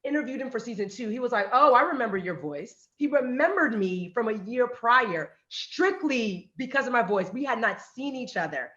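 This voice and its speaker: Woman telling a lively story, echoey. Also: a little high, moderate pace, few pauses, dull audio. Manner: animated storytelling, acts out quotes. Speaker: panelist, radio and podcast host. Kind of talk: panel talk, personal story.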